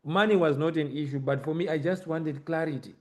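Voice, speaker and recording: A man's voice: fairly high pitch, fairly quick. A man with a Zimbabwean accent hosting a conversational podcast discussion about everyday matters. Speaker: host.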